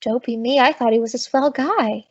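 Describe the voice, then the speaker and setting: High-pitched sassy American showgirl, feisty but big-hearted, old radio comedy, dull recording. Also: normal speed, husky. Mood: earnest, puzzled. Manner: slangy, sassy. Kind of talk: 1950s radio comedy acting.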